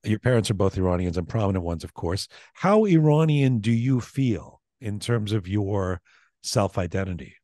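A middle-aged man hosts an interview from a radio studio, speaking into a close microphone. He asks his questions haltingly, often restarting as he searches for words. His voice sits somewhat low and sounds dry with no room around it. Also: lively intonation, dark tone, no echo. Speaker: podcast host. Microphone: studio microphone with headphones.

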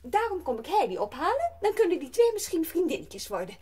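A woman's voice: very high voice, wildly swooping pitch, fairly quick, a little mellow, exaggerated voice. An actress playing a haughty, pushy city lady in a radio play, chattering and insistent. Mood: affected, eager. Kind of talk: Dutch radio play acting.

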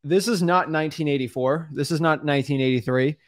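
A man's voice: on the high side, brisk. A young man co-hosting a casual studio podcast, talking loosely with lots of fillers and addressing listeners as guys. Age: young adult. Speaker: co-host. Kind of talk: casual podcast coaching talk. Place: studio with backdrop. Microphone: studio mic on boom arm.

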